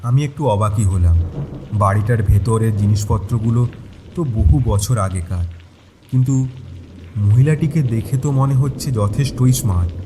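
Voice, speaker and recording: A man's voice: medium pitch, warm tone, a little hiss or hum. A man narrating a first-person horror audio story in a scripted storytelling style. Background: rain sounds.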